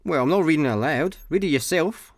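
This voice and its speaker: A man's voice: a little high, lively intonation, fast talker, no room sound. A young Scottish man playing an idle, agreeable space slacker in a comic radio play, chatting casually. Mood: casual, musing. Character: laid-back, idle male space slacker, mildly eager. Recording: dry studio, faint music behind.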